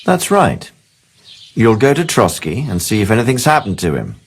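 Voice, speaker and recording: An actor playing an authoritative middle-aged nobleman in a video game, composed, measured and firm as he gives orders. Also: lowish voice, wildly swooping pitch, breathy edge, full character voice. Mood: businesslike.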